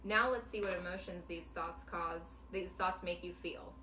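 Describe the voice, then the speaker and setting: A calm, patient woman guiding a client through a counseling exercise, explaining each step clearly. Her voice is recorded from a distance in a roomy, echoing space, with a faint background hiss. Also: on the low side, moderate pace. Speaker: clinician. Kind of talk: demonstration counseling session. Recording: echoey room, mic at a distance, faint noise.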